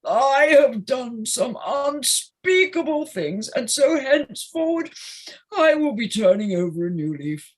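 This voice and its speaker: A woman playing a proud, foolish male toad in an audio play, grandiose and melodramatic, with a husky voice. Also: high-pitched, sing-song, measured pace, exaggerated voice. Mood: grandiose, repentant.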